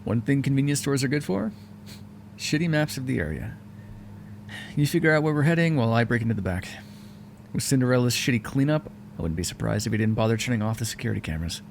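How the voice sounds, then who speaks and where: A sardonic male vampire, acted out by a man in an intimate audio roleplay. His mid-pitched voice swings widely and crackles with fry as he grumbles, wry and exasperated, at the listener. There is faint noise behind him. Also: animated, fast. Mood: dry, grumbling. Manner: sarcastic, wry, exasperated, conversational. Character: sardonic, foul-mouthed male vampire, grudgingly helpful, hungry. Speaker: roleplay voice actor.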